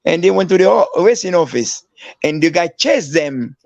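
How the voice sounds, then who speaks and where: Older man, from Haiti, former footballer, halting storytelling, fairly high voice, dry lo-fi audio. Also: lively intonation, vocal fry, dry recording. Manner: halting, many restarts and fillers. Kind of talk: storytelling interview.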